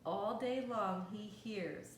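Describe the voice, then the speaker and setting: A woman's voice: mid-range voice, slow. A woman reading a picture book aloud to young children, with gasps, exclamations and little asides explaining words. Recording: roomy echo, faint background noise.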